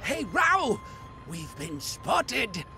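An actor playing a fussy, excitable male demon sidekick in a video game, indignant and exclamatory. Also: very high voice, drawn-out words, noisy background, exaggerated voice. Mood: alarmed.

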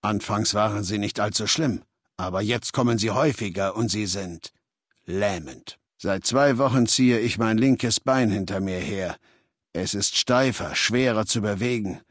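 An actor playing a commanding, ruthless middle-aged warlord in a video game, authoritative and deliberate, with a gravelly, husky voice. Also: male voice, on the low side, no room sound, clean studio sound. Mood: grave, measured. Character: commanding, ruthless male warlord, leader of a legion. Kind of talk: dramatic video game voice acting, German dub. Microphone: close, dry studio recording.

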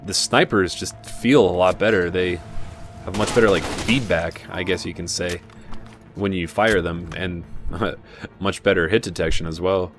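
A man with a low voice talks through a shooter game in an easygoing, conversational way, drifting into asides as he plays. Faint game music and gunfire sit under his voice. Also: a little slow. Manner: relaxed, chatty, with fillers and asides. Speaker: game guide narrator. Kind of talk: casual gameplay commentary.

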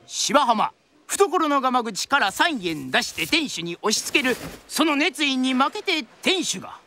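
A woman's voice: high-pitched, very animated, unhurried, bright, forceful tone. A woman narrating a drama from a script in a theatrical, traditional storyteller's style.

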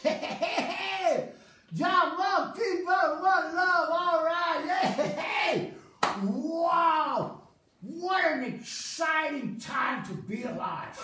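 A woman voicing a hearty, dreamy Rasta man who preaches peace in a slow, loud, drawn-out way on an outdoor festival stage. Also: very high voice, slight background noise, heavily acted. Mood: laid-back preaching. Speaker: festival performer. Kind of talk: comic stage monologue, visionary speech. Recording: echoey stage sound from a distant mic.

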